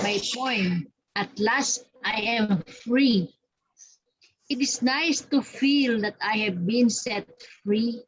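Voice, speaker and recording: A woman's voice: fairly high pitch, rough recording. A woman from the Philippines, formally reciting poetry as a guest writer. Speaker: senior writer, guest of honor.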